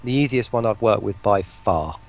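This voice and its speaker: Gravelly-voiced man chatting enthusiastically. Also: on the low side, expressive, normal speed. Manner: rambling, enthusiastic, self-interrupting. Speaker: voice pack producer, stream guest. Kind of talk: casual interview chat.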